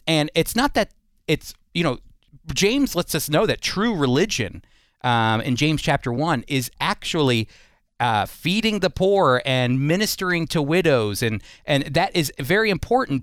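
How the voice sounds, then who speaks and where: A man's voice: a little high, smooth, dry recording, clean studio sound. A man hosting a program, talking through issues in a conversational interview style.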